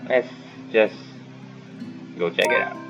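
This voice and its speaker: Low, gravelly male gaming streamer. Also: slow pace. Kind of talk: casual game playthrough commentary. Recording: slightly dull mic, game music behind.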